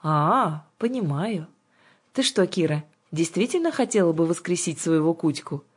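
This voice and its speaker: A woman's voice: medium pitch, expressive, moderate pace, darkish tone, breathy edge, pitched above the performer's own voice. Audiobook lady played by a female reader: polite, cool, slightly bored, matter-of-fact, music behind. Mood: calm, casual.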